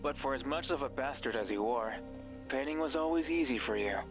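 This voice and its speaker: A man's voice: medium pitch, a little fast, highs cut off, over music, natural voice. An actor playing a mysterious, controlling male voice in a horror game, taunting, sardonic and condescending. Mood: sardonic storytelling. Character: mocking, cruel, controlling mysterious male voice on a radio.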